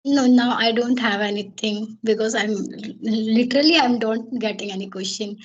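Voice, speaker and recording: A woman practicing spoken English as a guest on a video call, nervous and hesitant, full of pauses and fillers. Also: on the high side, highs cut off, a little hiss or hum. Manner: hesitant, many fillers and restarts. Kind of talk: conversation practice.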